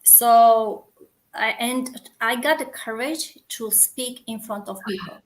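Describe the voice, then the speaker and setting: A woman's voice: fairly high pitch, slow pace, poor audio. A woman with a Romanian accent speaks in a slightly raised voice, talking earnestly about her community. She often restarts and searches for the right English word. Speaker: community founder, guest. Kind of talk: casual interview chat.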